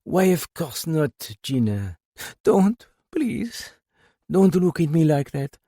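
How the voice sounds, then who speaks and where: A man's voice: on the high side, big pitch swings, dry recording, studio quality, voice pushed up, full character voice. A man voicing a weary, grieving old Frenchwoman. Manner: quiet, halting, breaking into passionate outbursts. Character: weary, high-strung older Frenchwoman, grieving and self-blaming.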